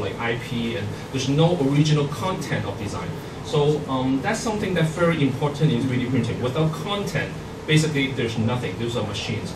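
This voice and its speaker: A middle-aged man from Hong Kong giving a workshop presentation, speaking haltingly with many fillers and restarts. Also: medium pitch. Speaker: guest speaker. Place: conference hall. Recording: echoey hall, far from mic, noisy.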